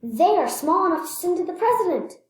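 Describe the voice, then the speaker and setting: Woman playing an eager, high-pitched frontier explorer man. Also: sing-song, brisk, a little mellow, very echoey. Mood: excited, determined. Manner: exclaiming, emphatic. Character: eager, excitable male frontier explorers in a storybook.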